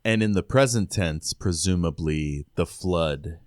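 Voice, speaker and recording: A man's voice: sits low, measured pace, a little mellow, no echo, studio quality. A man co-hosting a podcast, casually discussing a book and recapping it. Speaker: podcast co-host.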